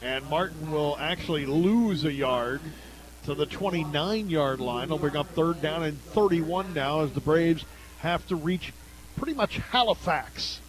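An American man doing live radio play-by-play commentary of a high school football game. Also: measured pace, crowd noise, low-quality recording. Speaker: play-by-play announcer.

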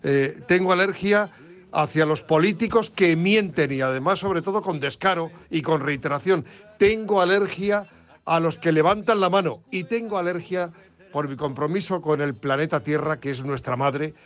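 A man's voice: a little high, moderate pace. A man giving a reflective, emphatic opinion piece on the radio, with frequent hesitations. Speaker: radio program director, columnist. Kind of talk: opinion commentary.

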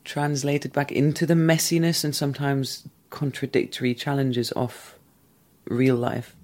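A man calmly and candidly recounting a past relationship in a thoughtful, measured way. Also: medium pitch. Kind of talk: reflective personal storytelling.

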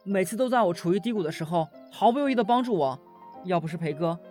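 A man's voice: high voice, expressive, fast talker, dark tone, faint music behind. A man in an audio drama playing an eager young employee in his twenties, polite and deferential. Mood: eager, grateful. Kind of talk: amateur Chinese audio drama acting.

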